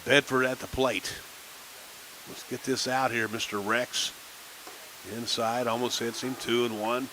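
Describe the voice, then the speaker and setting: A man calling a minor league baseball game on local radio, chatting casually between pitches. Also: a little slow, pauses often, a little hiss or hum, rough recording. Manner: relaxed folksy chatter between pitches, rising excitement on big plays. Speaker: play-by-play announcer.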